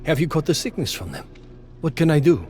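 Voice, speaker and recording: A man's voice: fairly high pitch, sing-song, a little fast, a little mellow, faint music behind, pitched above the performer's own voice. A man voicing a proud, brooding, centuries-old vampire lord in a dramatic story, grave and imperious in manner. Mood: worried.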